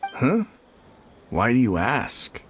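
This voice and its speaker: A man's voice: mid-range voice, wildly swooping pitch, slow pace, slight background noise. A man playing a casual, somewhat defensive gate worker in a video game. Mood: casual, hesitant.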